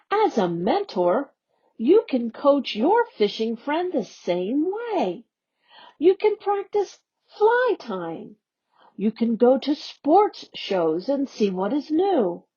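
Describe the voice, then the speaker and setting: A woman on an education staff reading a youth newsletter aloud in a slow, expressive storybook manner. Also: a little high, husky. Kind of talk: read-aloud for young learners. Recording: dull lo-fi audio.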